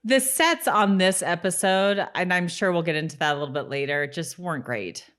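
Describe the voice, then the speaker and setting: A woman's voice: lowish voice, expressive, normal speed, fairly bright tone. A woman co-hosting a casual TV rewatch podcast, chatty and agreeable in manner. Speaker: podcast co-host. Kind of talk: casual TV rewatch chat with read-out episode summaries.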